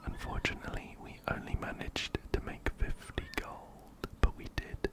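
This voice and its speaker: A man whispering ASMR game commentary very close to a binaural mic, gently repeating his phrases. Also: moderate pace. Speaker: ASMR host playing a video game. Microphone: close binaural microphone, ear to ear.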